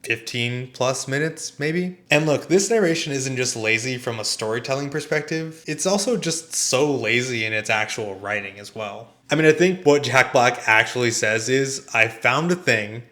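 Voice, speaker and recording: A man's voice: medium pitch, moderate pace, hardly any pauses, a bit bright. A man giving a conversational scripted film review in a dry, sardonic manner. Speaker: video essayist and film reviewer.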